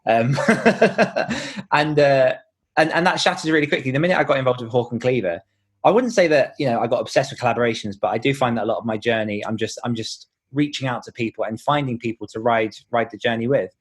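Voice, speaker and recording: A man's voice: mid-range voice, brisk, husky. A man speaking as an author guest in a conversational podcast interview, explaining things in an encouraging way.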